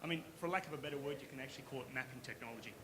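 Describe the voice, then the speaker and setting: A man from Western Australia with an Australian accent, giving a conference pitch as a company founder, casual and conversational, often checking in with the audience. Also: rapid-fire, crowd noise. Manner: casual, conversational, with frequent tag questions. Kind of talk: conference presentation. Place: conference stage.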